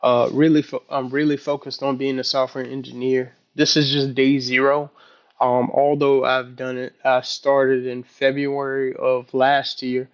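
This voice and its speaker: Man with flat pitch, halting delivery. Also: muffled recording. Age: early 30s. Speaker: vlogger. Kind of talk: casual, personal video journal.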